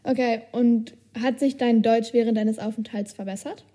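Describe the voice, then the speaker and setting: A woman's voice: fairly high pitch, steady tone, normal speed, mellow. A woman hosting a podcast, asking friendly questions and encouraging her guest. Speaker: podcast interviewer. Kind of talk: casual podcast interview.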